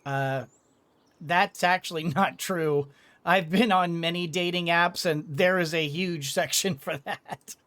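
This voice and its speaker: A woman's voice: fairly low pitch, slow, a bit bright. A woman giving casual let's play commentary, thinking aloud with hesitant phrasing as she goes. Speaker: gaming streamer.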